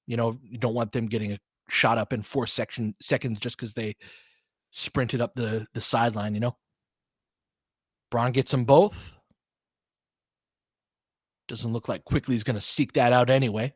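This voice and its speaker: A man's voice: medium pitch, fairly even intonation, normal speed, pauses often, husky, dry recording. A man doing live basketball play-by-play and analysis in a conversational, slangy way, explaining tactics in detail.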